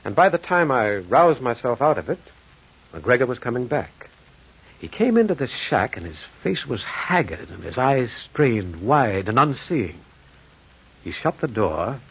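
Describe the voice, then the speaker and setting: An actor playing a curious, puzzled wanderer in an old radio horror drama, speaking and narrating in an earnest, dramatic way. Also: male voice, mid-range voice, sing-song, unhurried, fairly bright tone, slightly rough, exaggerated voice. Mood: tense storytelling. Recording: muffled, noisy old radio recording.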